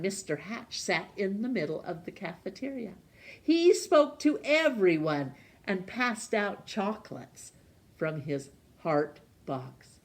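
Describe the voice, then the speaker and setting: A woman reading a children's storybook as narrator, expressive, with dramatic pauses and emphasis. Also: medium pitch. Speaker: storytime reader.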